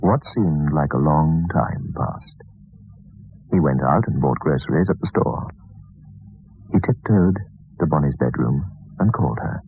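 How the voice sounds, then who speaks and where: A man's voice: a bass voice, animated, unhurried, long pauses, husky, narrow, tinny audio, heavily acted. A man performing as the grave narrator of a scripted radio suspense play. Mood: grave, suspenseful. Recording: band-limited old radio, music underneath.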